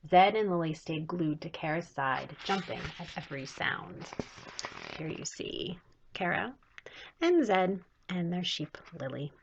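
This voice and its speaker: Low-pitched woman reading a storybook, lo-fi. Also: animated, a little slow, creaky, background noise. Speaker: children's author reading aloud. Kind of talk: storybook read-aloud, scripted narration. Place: small home room, indoors. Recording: dull lo-fi webcam audio.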